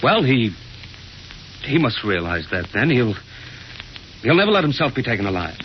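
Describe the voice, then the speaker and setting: A man's voice: medium pitch, big pitch swings, normal speed, natural voice. An actor playing an earnest, troubled man in an old radio drama, speaking with some hesitation. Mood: troubled, hesitant. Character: earnest, troubled man reluctantly joining a manhunt. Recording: muffled old radio recording, faint noise.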